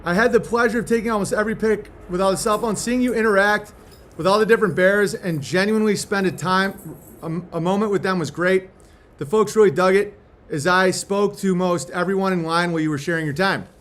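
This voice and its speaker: A man with a distinctly high-pitched voice hosts a casual livestream. He moves between reading aloud and chatting in a laid-back, slangy way, with loose swearing. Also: brisk, a bit bright, slight background noise. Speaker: host reading a fan letter aloud.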